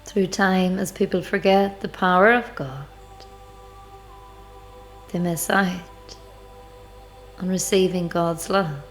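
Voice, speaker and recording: A woman leading a devotional guided meditation, speaking in measured, deliberate phrases with pauses. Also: lowish voice, steady tone. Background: background music.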